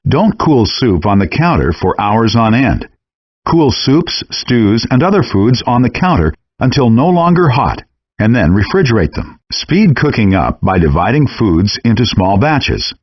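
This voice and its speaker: A man reading scripted cooking-game instructions in a measured, clearly enunciated, instructive way, in compressed audio. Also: medium pitch, sing-song, no echo, highs cut off.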